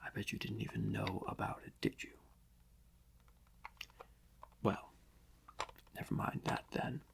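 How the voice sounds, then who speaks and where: A man playing a smug, theatrical criminal mastermind in a soft-spoken ASMR roleplay, breathy and husky, savouring his words with pauses. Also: fairly low pitch, heavily acted. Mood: scheming, gleeful. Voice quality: breathy, husky, often whispered. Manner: slow, savouring, gloating, with drawn-out pauses.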